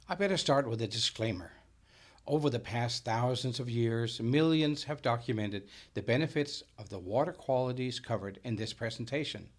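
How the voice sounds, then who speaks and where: A middle-aged man from Denmark with a Danish accent, a native Danish speaker, delivering a scripted sales presentation. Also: mid-range voice. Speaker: business owner and presenter.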